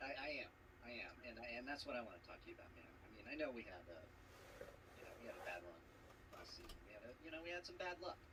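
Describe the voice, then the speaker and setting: A man's voice: mid-range voice, big pitch swings, rapid-fire. A man speaks casually and persuasively as a competitor to a partner. His middling voice moves up and down a lot, and the recording has obvious noise. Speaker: team competitor. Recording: clearly noisy background.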